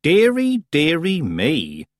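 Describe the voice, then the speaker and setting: A man voicing a stern old woman witch who speaks calmly, coldly and tersely. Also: mid-range voice, moderate pace, slightly rough, no echo, clean studio sound, pitched above the performer's own voice. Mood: icy calm. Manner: calm, clipped, coldly controlled.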